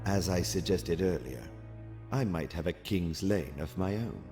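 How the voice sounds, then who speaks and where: A very deep-voiced alien cadet and strategist for an audio comic. He is calm and cerebral, choosing formal, exact words with a supple, moving intonation over faint music. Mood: calm, calculating. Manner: formal, measured, precise wording. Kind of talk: scripted audio comic acting.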